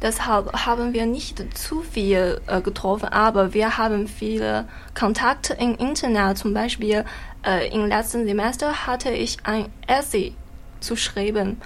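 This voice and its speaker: A woman's voice: a little high, fairly even intonation, unhurried, slight background noise. A young woman from China with a Chinese accent, an exchange student answering hesitantly in learner German. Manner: hesitant, self-correcting.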